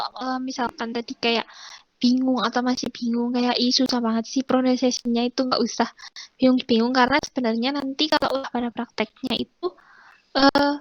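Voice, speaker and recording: Woman teacher, kinda high voice, reassuring and rambly, over a call. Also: slight background noise, low-quality recording. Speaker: French teacher. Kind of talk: casual teaching talk.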